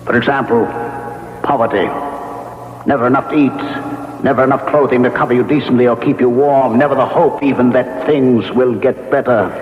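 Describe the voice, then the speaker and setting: An actor playing a grave, moralizing ghost of a man in a classic radio mystery, speaking in measured, formal, sermonizing phrases. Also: medium pitch, fairly even intonation, lightly acted. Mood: solemn, condemning. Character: male ghost, century dead, grave, moralizing, solemn. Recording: echoey, noisy, tinny old radio broadcast.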